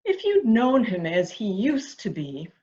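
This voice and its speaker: A woman's voice: medium pitch, wildly swooping pitch, measured pace, warm tone. A woman playing a fretful, intense, protective member of a household in a melodramatic mystery reading, in slightly dull sound. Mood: wistful. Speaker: amateur reader, library staff. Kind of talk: dramatic reading of a murder mystery.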